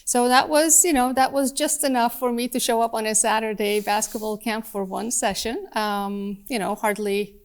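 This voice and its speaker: A woman in her forties from Turkey, whose first language is Turkish, talking in a relaxed podcast chat as the guest, thoughtful and often laughing. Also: a little high, normal speed, hardly any pauses, clear, resonant tone. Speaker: guest, department chair. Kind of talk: casual podcast conversation.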